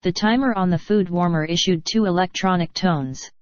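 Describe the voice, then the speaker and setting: A fairly low synthetic female voice narrates a scripted story. The phrasing is stilted, with an odd cadence. The audio is very dry and slightly dull. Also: moderate pace, a little mellow, dry recording. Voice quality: synthetic text-to-speech voice.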